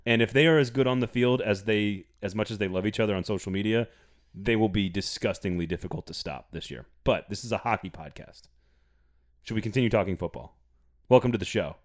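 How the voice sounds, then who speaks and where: A man's voice: on the low side, brisk. A man hosting a casual sports podcast, playful and teasing, reading an ad with gusto. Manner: playful, teasing, reads ads with enthusiasm. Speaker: podcast host.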